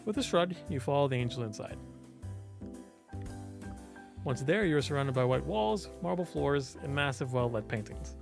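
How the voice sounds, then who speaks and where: A man's voice: mid-range voice, lively intonation, normal speed, creaky, long pauses, over music. A man reading visual novel narration aloud expressively, as a let's player and voice actor would.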